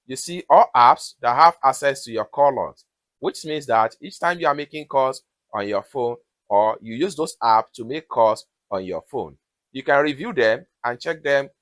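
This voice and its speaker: Guy doing a how-to voiceover, medium pitch, step by step instructions, really dry close recording. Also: a bit bright, dry recording. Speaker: tutorial host. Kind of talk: step-by-step phone tutorial narration.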